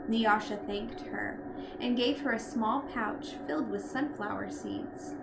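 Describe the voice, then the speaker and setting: A woman reading a storybook aloud in an expressive narrating style. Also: fairly high pitch. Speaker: storybook reader. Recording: echoey room with loud background noise.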